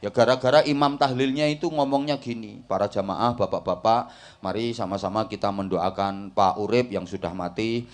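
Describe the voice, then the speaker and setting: A man's voice: fairly low pitch, steady tone, normal speed. A man with a Javanese accent giving a humorous Islamic sermon in a folksy, rhetorical way, often checking that his listeners follow. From Java, Indonesia. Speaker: Islamic preacher giving a talk to a congregation.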